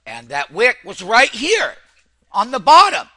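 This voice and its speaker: A man giving excitable, hype-driven live trading commentary as a host, repeating phrases and addressing his viewers like friends. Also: very high-pitched, measured pace. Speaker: stock trader and host.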